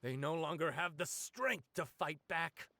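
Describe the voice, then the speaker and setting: A man's voice: a little high, animated, unhurried, pushed and bright, dry recording, exaggerated voice. A man voicing a defeated but dignified army general, formal and declamatory, in a video game stage play. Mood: pleading, resigned. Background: background music.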